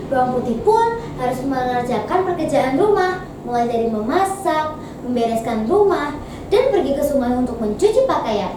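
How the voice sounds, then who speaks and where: A young woman telling a folktale expressively, as a student storyteller. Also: high voice. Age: teens. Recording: very echoey, distant mic, noisy.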